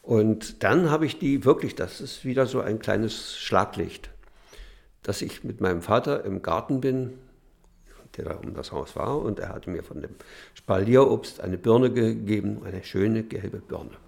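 Older male witness, gravelly, hesitant, unscripted recollection. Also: fairly low pitch, a little mellow. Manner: halting, with fillers. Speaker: witness recalling childhood. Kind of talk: hesitant spoken memories.

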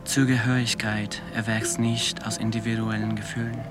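A man reciting a scripted radio-play monologue in measured, declarative statements. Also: fairly low pitch, level tone, over music, close to an everyday voice.

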